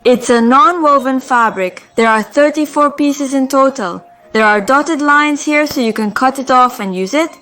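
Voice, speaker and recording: A woman with a slightly hoarse voice, calmly and methodically explaining household products in a review vlog. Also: high voice, moderate pace, few pauses, faint music behind. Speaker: home organizing vlogger.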